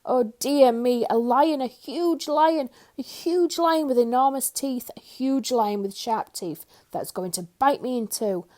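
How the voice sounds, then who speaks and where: A woman's voice: high voice, measured pace, few pauses, darkish tone, voice pushed up. A woman voicing a nervous, jumpy little man who shrieks and stammers in melodramatic panic in a storybook reading. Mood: panicky.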